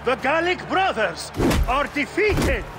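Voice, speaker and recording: Game announcer: grandiose, slow, high-pitched projection through very loud noise. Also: male voice, big pitch swings, exaggerated voice. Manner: booming, drawn-out proclamation. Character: bombastic male arena announcer hailing champions. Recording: very noisy, distant arena sound.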